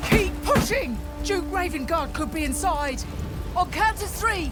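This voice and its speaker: A woman's voice: extremely high pitch, lively intonation, unhurried, over loud music. An actress playing a commanding woman soldier in a fantasy video game, straining as she barks urgent orders.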